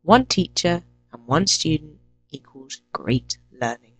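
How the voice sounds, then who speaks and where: A woman with an English accent, introducing herself as an English teacher and listing her lessons clearly and deliberately. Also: deep voice, expressive, no room sound, soft background music. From England. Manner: clear, deliberate, lists items one by one. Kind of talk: self-introduction to a teaching channel.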